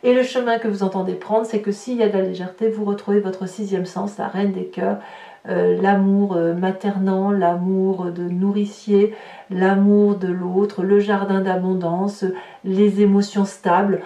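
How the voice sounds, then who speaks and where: A woman giving an informal tarot reading to viewers, in a halting way with repeated words and little tag questions. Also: medium pitch, little pitch movement, dark tone. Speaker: tarot reader presenting horoscope readings. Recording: roomy echo, distant mic, faint noise.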